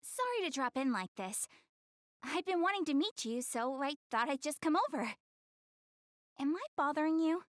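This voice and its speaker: A woman's voice: high-pitched, expressive, moderate pace, no room sound, clean studio sound. A woman playing a young goddess in an anime game dub, proud but prim and easily flustered, with a stammering, backtracking manner. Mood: polite, eager.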